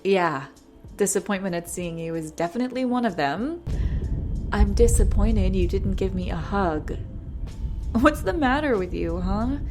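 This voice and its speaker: A woman playing a nervous, lovesick young pop star in an intimate audio roleplay, speaking in a halting, flustered way. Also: fairly low pitch, darkish tone. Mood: teasing, affectionate. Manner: flustered, halting, self-interrupting, breathy sighs.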